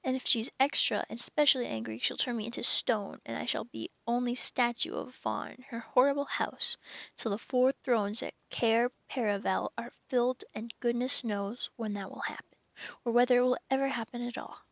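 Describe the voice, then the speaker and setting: Timid male faun, voiced by a woman, fretful, earnest, guilt-ridden, storybook reading, creaky, close dry sound. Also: on the high side, steady tone, moderate pace, fairly bright tone, no room sound. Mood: frightened, tearful.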